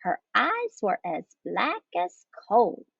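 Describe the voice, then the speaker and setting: A woman's voice: mid-range voice, huge pitch swings, slow, creaky, fairly bright tone, husky, no room sound, dull audio, full character voice. A woman voicing an affectionate but firm mother cat in a storybook, coaxing in a sing-song way. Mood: storytelling. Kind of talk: storybook character voice.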